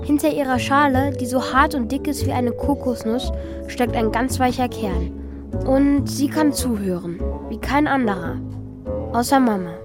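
High-voiced child narrator played by a woman. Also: normal speed, over loud music, natural voice. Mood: reflective. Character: Mika, thoughtful child narrating lonely winter story. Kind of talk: scripted first-person audio drama narration.

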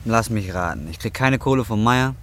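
A man's voice: fairly low pitch, animated, moderate pace, fairly bright tone, slight background noise. An actor playing a down-and-out, jealous man in his twenties with coarse, blunt street talk in a radio drama. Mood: frustrated. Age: 20s. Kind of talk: naturalistic radio drama acting.

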